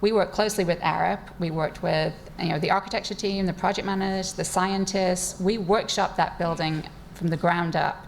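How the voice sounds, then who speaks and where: A woman's voice: on the low side, fairly even intonation, brisk, gravelly, hardly any pauses, slight background noise, rough recording. A woman scientist speaking on a panel at a live event, enthusiastic and chatty, laughing easily as she tells stories from her work. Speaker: neuroscientist panelist. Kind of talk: panel discussion talk. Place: event space with live audience.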